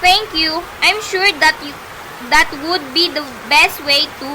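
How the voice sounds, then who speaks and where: A woman's voice: very high-pitched, unhurried. A woman playing a cool, officious telephone operator with a formal, detached manner in an amateur radio play. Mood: formal, official. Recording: faint background noise, amateur home recording.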